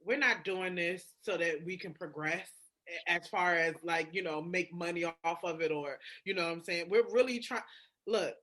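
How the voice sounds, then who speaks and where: A woman's voice: fairly low pitch, normal speed, fairly bright tone. A woman chatting casually and candidly, emphatic and swearing freely, often repeating phrases for emphasis. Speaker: podcast co-host. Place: phone call.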